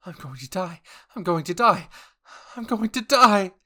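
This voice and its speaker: A man's voice: high voice, very animated, a little slow, sharp tone, pitched above the performer's own voice, exaggerated voice. A man playing a fearful young male Titan shifter in an anime roleplay, exclaiming frantically and panicking. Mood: terrified screaming. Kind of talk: anime fan roleplay acting.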